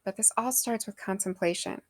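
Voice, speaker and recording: A woman's voice: medium pitch, brisk, no room sound. A young adult woman giving a faith reflection in an earnest, conversational, thoughtful way. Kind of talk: devotional talk.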